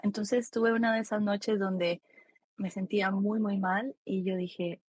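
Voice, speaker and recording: A woman with a Costa Rican accent and a husky voice, explaining reflectively as an expert guest in a conversational interview. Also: mid-range voice, fairly even intonation, unhurried, darkish tone, a little hiss or hum. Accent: Costa Rican Spanish. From Costa Rica. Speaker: expert guest, psychologist and author.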